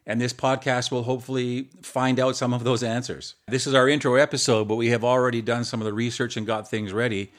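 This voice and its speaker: A man hosting a podcast in casual chat, earnest and a little halting. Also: mid-range voice, little pitch movement. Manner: earnest, with some restarts. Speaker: podcast host.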